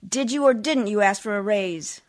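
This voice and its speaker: An actress playing a nagging, needy, sharp-tongued wife in 1940s-style radio comedy, with a scolding, argumentative manner. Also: female voice, medium pitch, normal speed, lightly acted. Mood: demanding.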